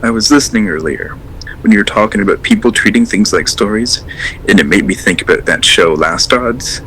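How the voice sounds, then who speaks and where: A man's voice: fairly low pitch, fairly quick, a bit bright, slight background noise, low-quality recording. A man acting as a hesitant, rambling caller to a late radio show in an audio drama, earnest and unsettled. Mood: hesitant, nervous. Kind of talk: audio drama, naturalistic phone-in call.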